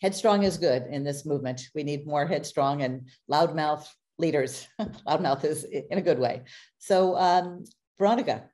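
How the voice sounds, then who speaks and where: A middle-aged woman hosts an interview over a video call. Her voice sits low and mellow, and she talks in a chatty way, laughing easily between questions. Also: moderate pace. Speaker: host.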